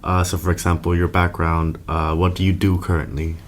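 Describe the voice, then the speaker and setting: A man's voice: deep voice, little pitch movement, brisk. A young man from Nicaragua hosting a podcast, introducing it and putting questions to his guest. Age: young adult. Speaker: podcast host and interviewer.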